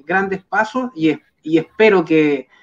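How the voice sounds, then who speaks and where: Chilean talk-show host, fairly high voice, measured pace, dull lo-fi call sound. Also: male voice. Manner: measured, many fillers and tag questions. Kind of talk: hosting an online boxing talk show.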